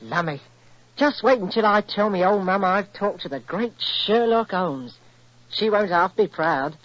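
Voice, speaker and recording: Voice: mid-range voice, expressive, a little slow, a bit bright, no room sound. An actor playing a cheeky, chatty Cockney errand boy in a 1940s radio drama. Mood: eager, awestruck. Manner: chatty, awestruck, slangy. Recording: dull old 1940s radio recording.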